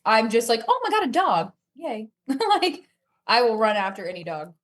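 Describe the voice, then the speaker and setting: A woman's voice: on the high side, sing-song, normal speed, a bit bright. A woman guest on a podcast, chatting casually in a playful, informal way. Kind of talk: casual chat.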